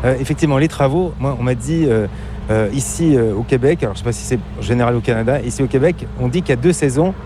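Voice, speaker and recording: A man with an accent from France, interviewing casually and haltingly, repeating words as he phrases his questions. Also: mid-range voice. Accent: French from France. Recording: loud car and road noise.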